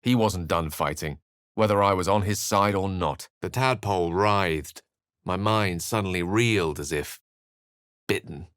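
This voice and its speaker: A man's voice: low voice, unhurried, a bit bright, clear, resonant tone, no echo, clean studio sound. An actor playing an eloquent, scholarly male wizard in a video game, articulate, theatrical and wry. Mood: grave, haunted. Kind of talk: video game voice acting, dramatic narration and dialogue.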